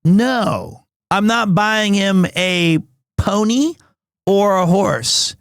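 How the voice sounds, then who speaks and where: Loud, high-voiced man streaming. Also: drawn-out words, creaky, clear, resonant tone, no echo, clean studio sound. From United States. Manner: loud, big voice, rambling with many restarts. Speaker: livestreamer. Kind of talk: casual chat with stream viewers. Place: home stream room in an apartment.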